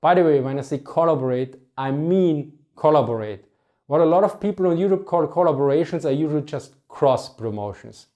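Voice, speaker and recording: A man from Austria, a native German speaker with an Austrian German accent, hosting a history channel and explaining things in a measured way, sometimes correcting himself. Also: fairly high pitch, animated, mellow. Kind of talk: reflective talk to camera.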